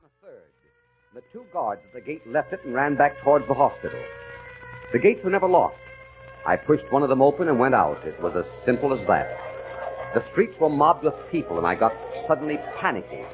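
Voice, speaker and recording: An actor playing a troubled middle-aged gentleman recalling his shell-shocked past, earnest and hesitant, in radio drama style. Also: mid-range voice, lightly acted. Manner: earnest, halting and hesitant in dialogue. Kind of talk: 1940s radio drama acting, dramatic first-person narration. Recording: muffled, noisy 1940s radio recording.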